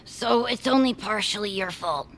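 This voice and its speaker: A woman playing an earnest, hesitant best friend in an audio comedy, deliberate and matter-of-fact with dry wit. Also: medium pitch, a bit bright, rough recording. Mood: sincere. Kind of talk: audio comedy drama acting.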